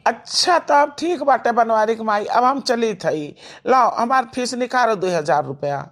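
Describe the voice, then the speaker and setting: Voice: mid-range voice, expressive, moderate pace, a bit bright, natural voice. An actor voicing a blunt, money-minded village doctor in a cartoon comedy, with an Awadhi accent and matter-of-fact delivery. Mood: demanding.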